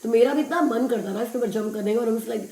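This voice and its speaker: A woman from India with a naturally husky voice, hoarse and strained today, chatting casually to camera as a family vlogger and laughing often. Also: fairly high pitch, fast, warm tone, reverberant.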